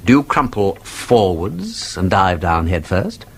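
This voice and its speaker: An actor playing a witty, inquisitive gentleman sleuth in a radio drama, with an upper-class English accent and an urbane, argumentative, theatrical manner. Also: deep voice, very animated, slow pace, a little hiss or hum, heavily acted. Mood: playful probing. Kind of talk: British radio drama acting.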